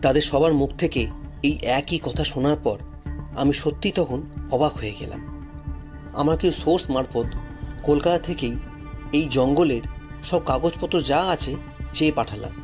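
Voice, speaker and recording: A man's voice: on the high side, normal speed, pauses often, soft background music. A man narrating a story dramatically in the first person, as a storyteller.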